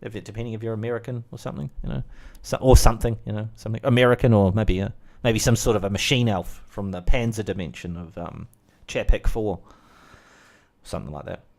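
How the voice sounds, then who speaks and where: Rambling New Zealand man, lowish voice. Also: quick pace, creaky, a little mellow. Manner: chatty, full of fillers and asides. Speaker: vlogger. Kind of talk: rambling casual monologue.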